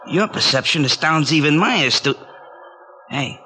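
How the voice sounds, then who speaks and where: Guy doing a snooty gangster thug, big fancy words, deadpan, hissy 40s radio sound. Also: a little high, moderate pace, natural voice. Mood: condescending. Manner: pompous, stilted diction, deadpan menace. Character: mock-refined gangster thug with pompous vocabulary. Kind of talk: 1940s radio acting. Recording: noisy vintage 1940s radio recording.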